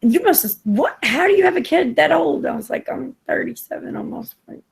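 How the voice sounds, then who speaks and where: A woman in her thirties chatting and rambling on a group call, with a gravelly, husky voice. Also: sits high, lively intonation, fairly quick. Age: 30s. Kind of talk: casual late-night group chat. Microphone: online group video call.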